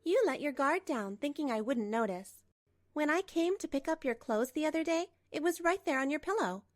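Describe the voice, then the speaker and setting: Woman acting as betrayed wife, high voice, composed, pointed, scripted manga narration, dry close sound. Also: expressive, normal speed, no room sound. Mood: coolly sarcastic. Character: betrayed wife, composed, coolly resolute, narrating her story.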